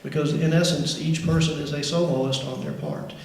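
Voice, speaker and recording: A man's voice: a little high, steady tone, moderate pace. A male orchestra teacher, introducing a school concert in a rambling way with many fillers. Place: large school auditorium. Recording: echoey auditorium, faint noise.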